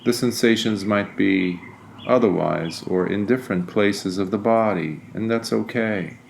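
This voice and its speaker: Male meditation guide: unhurried, very slow delivery with long pauses, lowish pitch, some background noise. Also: creaky. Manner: deliberate, soothing, frequent pauses between phrases. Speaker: meditation guide, Buddhist monk.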